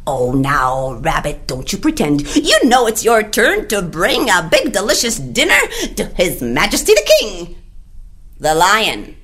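A woman's voice: fairly low pitch, huge pitch swings, measured pace, fairly bright tone, husky, full character voice. Woman doing a sly drawling jackal. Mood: taunting. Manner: drawling, taunting, bossy. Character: sly jackal messenger serving the lion.

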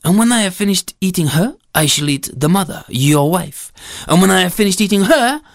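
A storyteller voicing a huge, bullying mountain goat who challenges people and makes drawn-out, boastful threats in a storybook tale. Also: mid-range voice, expressive, vocal fry, no echo, clean studio sound, pitched above the performer's own voice. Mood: menacing boast. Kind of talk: storybook character voice.

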